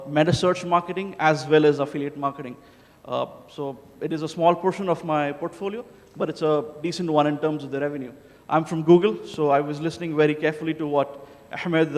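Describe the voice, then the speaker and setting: A man from India giving a casual, joking conference presentation, with many filler sounds as he talks. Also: on the high side. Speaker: conference speaker. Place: large conference hall. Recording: hall reverb.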